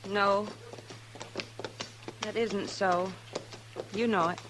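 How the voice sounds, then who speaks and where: A woman's voice: mid-range voice, steady tone, drawn-out words. A woman acting a sweet, anxious wife in an old-time radio Western. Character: sweet, anxious Southern wife of a jealous gambler. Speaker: actress in a Western radio drama. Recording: noisy vintage radio recording.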